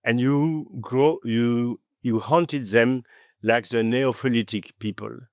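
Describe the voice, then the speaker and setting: A man with a French accent, French his first language, talking as a podcast guest in an animated storytelling manner, often adding "okay?" and "you know". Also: medium pitch, slow, no room sound, studio quality. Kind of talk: conversational interview.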